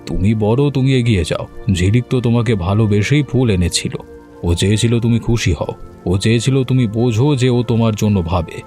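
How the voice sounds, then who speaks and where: A man voicing a helpful, earnest village man in an animated story, careful and reassuring in his manner. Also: fairly low pitch, brisk, faint music behind, close to an everyday voice. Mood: gently advising.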